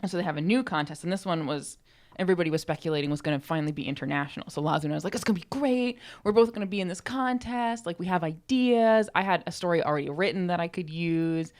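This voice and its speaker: A woman co-hosting a podcast, chatting casually and telling stories in a chatty, animated way. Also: fairly low pitch, fast. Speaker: podcast co-host.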